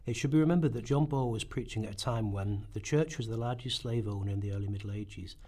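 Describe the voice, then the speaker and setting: Slightly husky male narrator. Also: lowish voice, fast talker, mellow. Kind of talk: scripted documentary narration for a radio play rehearsal.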